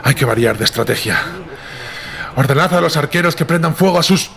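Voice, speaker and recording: A man's voice: on the high side, lively intonation, a little fast, gravelly. A man acting a commanding elf grand magister in a fantasy radio drama, giving urgent, authoritative battle orders. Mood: grave.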